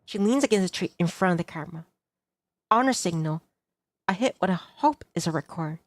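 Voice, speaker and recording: A woman's voice: on the low side, animated, a little fast, dry recording, close to an everyday voice. A woman playing a self-doubting, observant young heroine, narrating a visual novel in a glum, confessional first person. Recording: music clearly behind the voice.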